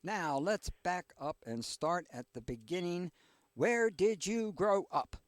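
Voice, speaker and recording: An older man hosts a radio talk show, guiding his guest with brief prompts and questions. His voice sits a little higher than most men's. The sound is close and dry with no room echo. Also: animated, slow. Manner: brief encouraging interjections. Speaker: radio host. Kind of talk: radio interview.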